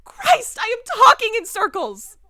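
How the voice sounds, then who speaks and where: A woman acting in an audio drama as a worn-down, exasperated partner, pleading and haltingly repeating herself. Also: very high voice, big pitch swings, pushed and bright, no room sound, studio quality, exaggerated voice. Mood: frustrated, upset.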